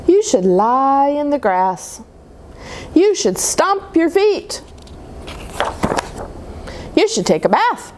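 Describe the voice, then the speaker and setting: A woman's voice: sits high, big pitch swings, slow pace, pauses often, a little mellow, slight background noise, pitched above the performer's own voice, exaggerated voice. A woman voicing cheerful, pushy jungle animals in a children's storybook, coaxing a friend to join in. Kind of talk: children's storybook character reading.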